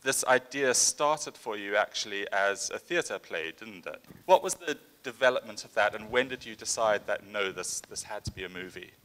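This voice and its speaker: A man's voice: on the low side, animated, moderate pace, hardly any pauses, bright, forceful tone. A man moderating a film Q&A on a cinema stage, asking polite, enthusiastic questions into a handheld mic. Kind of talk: prepared interview questions. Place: cinema stage with seated panel.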